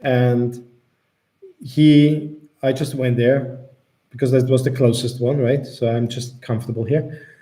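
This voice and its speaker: Austrian guy chatting on a live q&a, mellow darkish voice, kinda hesitant, restarts a lot. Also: mid-range voice, a little slow. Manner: relaxed, hesitant, restarts sentences. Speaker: co-host of a travel Q&A. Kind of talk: casual live chat.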